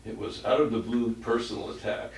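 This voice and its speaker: A man with a gravelly, somewhat low voice talks loosely and keeps restarting his sentences. He is picked up from across an echoey room. A faint noise runs underneath. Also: brisk, distant mic. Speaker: committee member. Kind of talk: casual meeting discussion. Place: meeting room.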